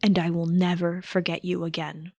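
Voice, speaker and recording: Woman reading a quiet, protective male teacher. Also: fairly low pitch, flat delivery, normal speed, no room sound, dull audio, pitched below the performer's own voice. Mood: solemn tenderness. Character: gruff-hearted, protective, tender adult male teacher. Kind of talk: audiobook character voice.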